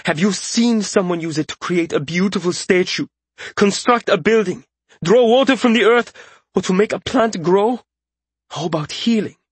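A man's voice: sits high, moderate pace, few pauses, warm tone, slightly rough, no room sound, pitched above the performer's own voice. A man voicing an earnest, self-doubting young mage in an audiobook, with an indignant, pleading manner. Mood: impassioned indignation. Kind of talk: audiobook character voice.

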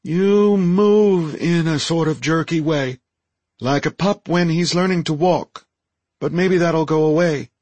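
A man voicing an excitable, frank young furry alien in a squeaky, eager voice for an audiobook story. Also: takes it slowly, no room sound. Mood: appraising. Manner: eager, blunt, simply worded.

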